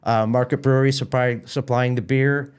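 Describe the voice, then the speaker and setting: A man's voice: medium pitch, steady tone, moderate pace, clean tone. A man with a mid-range voice talks as a guest in a casual interview. He sounds like an organiser promoting an event informally. He often repeats words and doubles back mid-sentence.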